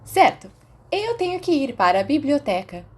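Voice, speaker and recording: Woman voicing a brash, high-pitched teenage schoolgirl. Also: very animated, moderate pace, darkish tone. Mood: cheerful, teasing. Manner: cheeky, emphatic, dramatic. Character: brash, fiery, self-assured teenage schoolgirl. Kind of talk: audiobook character acting.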